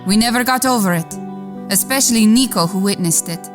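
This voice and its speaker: A woman playing a fond mother who recalls her son in expressive, storybook-like radio drama narration. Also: medium pitch, fairly quick, lightly acted. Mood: sorrowful. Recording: music behind the voice. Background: music.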